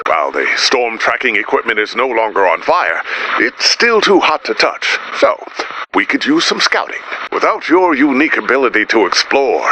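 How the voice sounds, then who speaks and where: Bright, wildly animated, fussy director. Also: male voice, medium pitch, a little hiss or hum, rough recording. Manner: officious, wordy, hesitant, theatrically exasperated. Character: fussy, exasperated male director of a survivor base. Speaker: game character voice actor. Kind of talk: animated video game mission dialogue.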